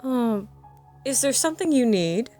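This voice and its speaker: Woman acting a sweet high-voiced pony schoolteacher. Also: expressive, a little slow. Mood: cheerful, obliging. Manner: gentle, sweet, patient. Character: kindly female pony schoolteacher, smiling and patient. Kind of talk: cartoon fan audio drama. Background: music.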